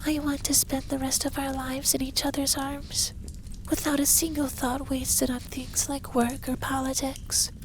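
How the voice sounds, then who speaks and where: A man playing a possessive, stern but caring effeminate dragon lover in an intimate ASMR roleplay, soft and breathy with whispers. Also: very high-pitched, level tone, unhurried, slight background noise, low-quality recording. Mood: tender. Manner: commanding, teasing, tender.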